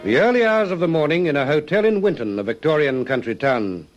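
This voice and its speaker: A man giving formal scripted narration for an old radio police drama, in a grave, measured and emphatic manner. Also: fairly high pitch, expressive, over music. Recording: dry, muffled vintage radio recording.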